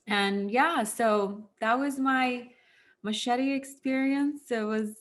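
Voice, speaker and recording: A woman's voice: fairly high pitch, takes it slowly. A woman in her thirties from Texas telling personal stories casually as an interview guest, chatty, with plenty of "like" and "you know". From Houston, Texas. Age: 30s. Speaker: interview guest, former actress. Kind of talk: casual conversational storytelling.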